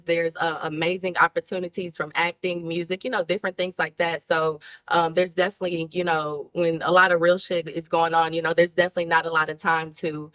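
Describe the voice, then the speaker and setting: A woman's voice: on the low side, a bit bright. A woman. She talks as a guest in a casual interview, rambling informally and leaning often on "you know.". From Houston, Texas, United States. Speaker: show guest, rapper.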